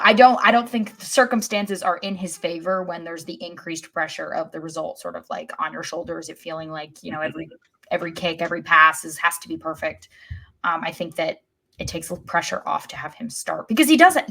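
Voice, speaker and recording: Female soccer podcast co-host, fairly low pitch, conversational, choosing words deliberately. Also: gravelly, sharp tone. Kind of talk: casual sports discussion.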